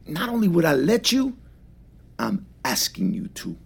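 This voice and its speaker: An actor playing an easygoing, generous New shopkeeper, talking in casual, reassuring slang. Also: male voice, a little high, wildly swooping pitch, a little slow, vocal fry, slightly rough, heavily acted. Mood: tender. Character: easygoing, generous, rough-edged New York shopkeeper, man. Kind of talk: naturalistic audio drama dialogue.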